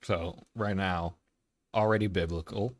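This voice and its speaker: A man's voice: sits low, fairly even intonation, measured pace, dry recording. A man hosting a casual reaction, commenting analytically and explaining what he notices.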